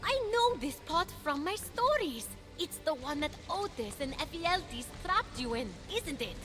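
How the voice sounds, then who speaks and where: A plucky young video game hero, curious and wry. Her high voice is very lively and slightly unhurried, with music underneath. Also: heavily acted. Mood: skeptical. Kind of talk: video game cutscene voice acting. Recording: music behind the voice.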